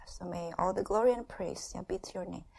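A middle-aged woman from Indonesia shares her church testimony in short, unhurried phrases, pausing often for an interpreter, with frequent "uh" and "yeah" and light laughs. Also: fairly low pitch, vocal fry, a little mellow, breathy edge, low-quality recording. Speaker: guest speaker sharing her testimony.

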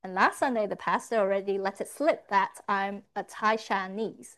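A woman's voice: mid-range voice, moderate pace, a bit bright. Woman interpreting a church talk. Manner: renders his words line by line in the first person. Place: church service.

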